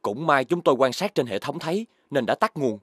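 A man's voice: mid-range voice, sing-song, brisk, fairly bright tone, no echo. A man voicing a stern adult worker in a children's cartoon, admonishing and lecturing. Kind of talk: Vietnamese children's cartoon dubbing.

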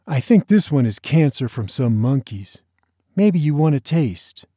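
A man's voice: mid-range voice, big pitch swings, a little slow, warm tone, slightly rough, no echo, clean studio sound, pitched above the performer's own voice. A man voicing a bossy, mischievous, teasing boy in an audiobook, with a taunting, mock-dramatic manner. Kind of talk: audiobook character dialogue.

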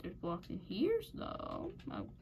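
A woman talks slowly through her gameplay, her pitch swinging widely while she mocks her own mistakes. Her bright voice drops into gravelly fry and turns a little raspy at times. A faint hiss of noise runs behind her. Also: huge pitch swings. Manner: self-mocking, frustrated, muttering. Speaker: gaming channel host. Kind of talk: casual gameplay commentary.

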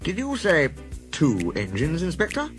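A man's voice: fairly high pitch, wildly swooping pitch, a little slow, music in the background, pitched above the performer's own voice. A man voicing a stern, exasperated railway controller from a children's story, speaking in commanding, clipped questions.

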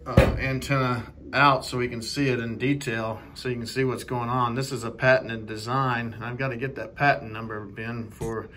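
A man with a mid-pitched voice presents a product in an informal walk-through. Faint noise sits behind his talk on a handheld video recording. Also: steady tone, normal speed, few pauses.

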